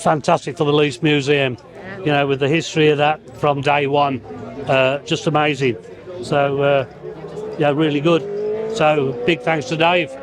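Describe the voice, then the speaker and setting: A man who runs a bike museum, telling how his display came about in a casual, relaxed way full of pauses. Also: on the high side, crowd noise. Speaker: museum owner, event exhibitor. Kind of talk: casual interview. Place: marquee at an outdoor bike event.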